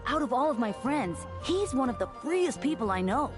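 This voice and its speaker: Courteous deacon with lilting delivery. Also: female voice, high voice, big pitch swings, moderate pace, over music. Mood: breezy. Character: suave, courteous young church deacon, gently teasing and devout. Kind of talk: video game voice acting.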